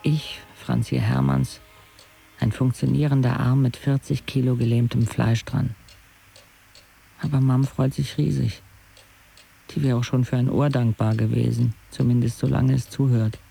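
Sardonic teenage boy narrator, creaky mellow voice, dry and laconic, radio drama, faint music. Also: medium pitch, level tone, measured pace, heavily acted. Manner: dry, laconic, clipped phrases. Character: sardonic paralysed fourteen-year-old boy narrating his own life. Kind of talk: intimate first-person radio drama narration.

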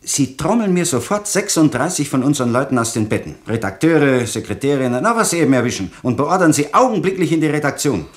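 An actor playing a bossy, impatient newspaper boss in a radio play, commanding and sarcastic. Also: male voice, a little high, very animated, quick pace, hardly any pauses. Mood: barking orders.

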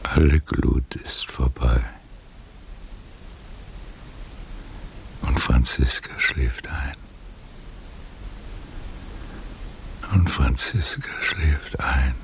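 An older man acting in a German radio drama as a weary, tender, melancholy old aristocrat, speaking verse in a hushed, measured way. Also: rumbling low, whispered, heavily acted, poor audio. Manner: hushed, slow, measured delivery.

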